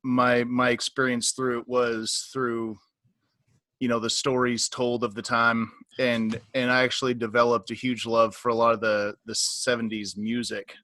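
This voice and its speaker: Man in his thirties, bright voice, hesitant, dry close sound. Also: mid-range voice, little pitch movement, moderate pace, no room sound. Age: 30s. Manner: hesitant, repeats words as he starts. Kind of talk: casual chat on a video call.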